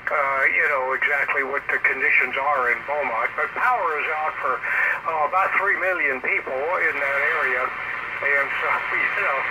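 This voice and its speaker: A man chatting casually as an amateur radio operator, his voice coming over a shortwave radio transmission. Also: on the high side. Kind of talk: casual ham radio conversation. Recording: very noisy radio static, speaker playback.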